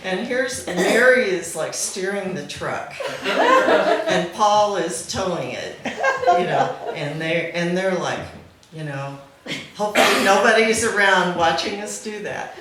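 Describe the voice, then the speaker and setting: Slow-talking woman in a very echoey room. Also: medium pitch, expressive. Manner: amused, often laughing as she talks. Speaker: speaker at a memorial celebration. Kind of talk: informal anecdote told to a gathering. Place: back room at a live gathering. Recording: very echoey room, faint noise.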